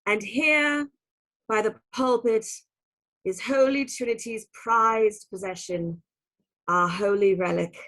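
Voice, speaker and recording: Radio play nun, female actor: medium pitch, prim and formal, proud, earnest, firm. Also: takes it slowly, a bit bright. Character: Sister Tabitha, earnest, proud, stern nun.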